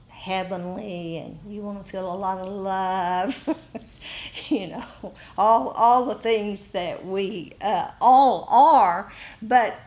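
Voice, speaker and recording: An American woman speaks at an unhurried, slow pace with a mid-range pitch. She teaches meditation informally to a group, talking conversationally in short phrases with frequent pauses. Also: darkish tone. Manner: conversational, pausing often between short phrases. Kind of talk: informal dharma talk to a group.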